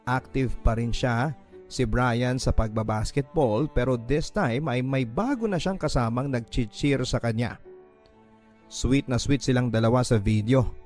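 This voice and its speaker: A man with a Filipino accent hosting radio, reading listener letters and giving advice in an expressive, emotive storytelling manner. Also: mid-range voice. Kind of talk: dramatic letter narration and advice. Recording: voice over background music. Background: music.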